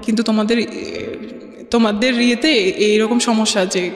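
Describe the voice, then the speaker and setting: A woman's voice: a little high, steady tone, normal speed. A young adult woman with a Bangladeshi accent tells a personal story conversationally, mixing in English words. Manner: mixes English words into her talk. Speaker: guest telling her life story. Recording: roomy reverberant studio, music underneath.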